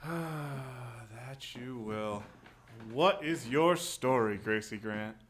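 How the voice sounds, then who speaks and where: An actor playing an earnest, guarded newspaper reporter in a radio drama, with a hesitant, confiding manner. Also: male voice, mid-range voice, drawn-out words, noisy background. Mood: wistful. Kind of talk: 1940s-style radio drama acting.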